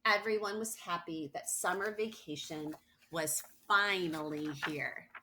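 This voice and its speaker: A woman's voice: fairly low pitch, a little slow, background noise. A woman reading a children's picture book aloud expressively, stressing words for young listeners. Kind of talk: expressive read-aloud storytelling with asides.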